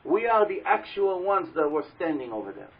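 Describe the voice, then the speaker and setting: A man with a loud, slightly husky voice, pitched a little high, gives a religious talk to a live audience. He tells a long story and keeps putting questions to his listeners. The recording is dull and lo-fi, with the voice taken through a microphone at a live event. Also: very animated, brisk. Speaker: religious teacher speaking to an audience. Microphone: handheld or stand microphone.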